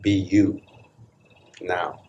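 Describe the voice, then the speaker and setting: A man leading a live guided meditation, speaking calmly and deliberately in short phrases with long pauses between them. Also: sits low, husky, highs cut off. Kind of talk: live guided meditation, slow hushed instruction.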